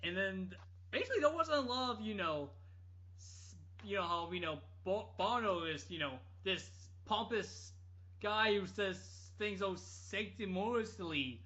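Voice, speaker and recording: Bright, high-voiced man telling a rambling story. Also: animated. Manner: halting, rambling. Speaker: podcast guest storyteller. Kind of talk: casual podcast storytelling. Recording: dull lo-fi audio.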